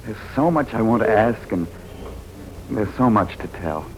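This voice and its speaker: An actor playing a troubled, lovesick husband in a 1940s radio drama, on an old recording. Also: male voice, fairly low pitch, expressive, measured pace, music in the background, low-quality recording. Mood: earnest. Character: troubled, lovesick husband who regained his memory.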